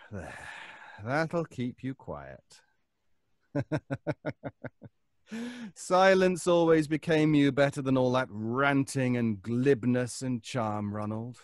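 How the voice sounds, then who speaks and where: A man's voice: mid-range voice, lively intonation, takes it slowly, pauses often, no room sound, studio quality, voice pushed down. Man acting a swooping, vengeful mad actor. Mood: gloating, menacing. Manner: theatrical, taunting, mock-courteous. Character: vengeful, mad failed actor, gloating over his trapped rival. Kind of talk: old-time radio suspense acting.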